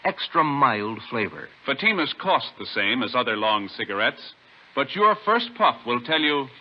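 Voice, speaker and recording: A man's voice: medium pitch, sing-song, normal speed. A man reading a 1950s radio advertisement as a polished commercial announcer, stressing key words. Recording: dull vintage radio recording, faint noise.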